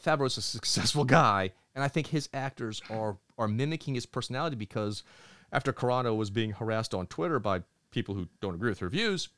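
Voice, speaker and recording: A middle-aged man co-hosting a casual podcast, talking in an animated, opinionated way and acting out quotes in other voices. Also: medium pitch, fairly quick. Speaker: podcast co-host.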